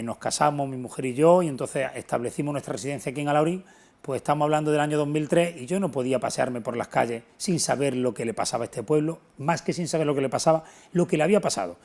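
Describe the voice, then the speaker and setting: A man's voice: mid-range voice, quick pace, creaky, a little mellow. A middle-aged man, giving earnest, explanatory and emphatic answers as an interview guest. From Antequera, southern Spain. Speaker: interview guest, local historian. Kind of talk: unscripted television interview answers.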